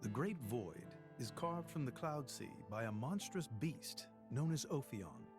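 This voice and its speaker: A solemn, measured elder statesman. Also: medium pitch, very animated. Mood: grave, explanatory. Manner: formal, measured, dignified. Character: solemn old male ruler, courteous and grave. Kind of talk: dramatic game cutscene acting. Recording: orchestral music behind the voice.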